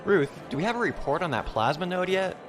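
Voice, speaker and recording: An actor playing a patient, easygoing pirate starship captain, calm and informal, in an audio drama. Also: male voice, high voice, fast, faint music behind. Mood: businesslike.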